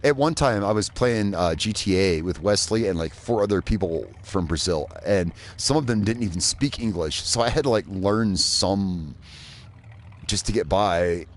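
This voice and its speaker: A man's voice: sits low, animated, moderate pace. A man from Indiana, streaming a game and chatting loosely, rambling and swearing as he plays. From Indiana, USA. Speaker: gaming streamer. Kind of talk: casual live gameplay commentary.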